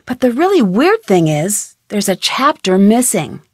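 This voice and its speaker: A woman's voice: mid-range voice, very animated, unhurried, no room sound, studio quality. A woman voicing a curious, polite young amateur detective in a video game, with a friendly, inquisitive delivery. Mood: intrigued. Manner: inquisitive, friendly, clearly articulated questioning. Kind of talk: video game dialogue, single scripted lines.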